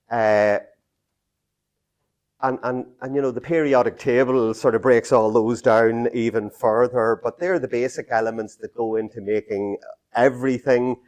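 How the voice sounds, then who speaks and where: A middle-aged Irish man speaks slowly to a small audience, pausing often and circling back with fillers and restarts. His voice sits at an ordinary middle pitch. He talks in a small indoor meeting room, picked up by a camera set some way off. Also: a little mellow. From Ireland. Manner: halting, many fillers and restarts, gestures a lot while talking. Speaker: speaker giving a talk. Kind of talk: unscripted public talk.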